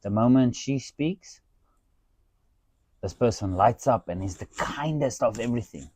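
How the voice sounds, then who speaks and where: A podcast host from South Africa with an Afrikaans accent, telling stories in a casual chat, animated and swearing, often saying "dude". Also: male voice, mid-range voice, measured pace, slightly rough, no echo. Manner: animated, swearing storyteller who says "dude" a lot.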